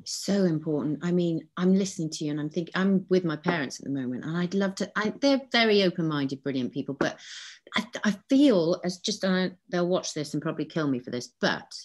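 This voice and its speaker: A woman hosts the interview, chatty and enthusiastic as she affirms her guest. Her voice is low. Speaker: interview host. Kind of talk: interview conversation.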